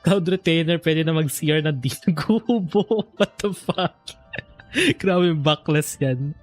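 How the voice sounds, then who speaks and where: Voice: medium pitch, a little slow. A streamer with a Filipino accent, reacting and musing aloud in casual game commentary that switches between two languages. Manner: informal, reactive, muses aloud. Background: game music.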